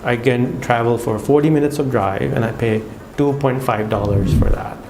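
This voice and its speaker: A man's voice: mid-range voice, moderate pace, darkish tone, a little hiss or hum. Pakistani man chatting in an echoey room. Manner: chatty, many fillers and restarts. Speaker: vlogger. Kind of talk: casual talk to camera.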